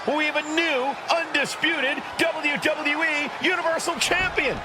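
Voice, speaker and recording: A man's voice: very high-pitched, a little slow. A man playing a dramatic, earnest wrestling play-by-play announcer, calling a video game match with fervor. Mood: stunned, excited. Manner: emphatic, dramatic. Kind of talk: excited sports commentary. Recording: noisy arena recording.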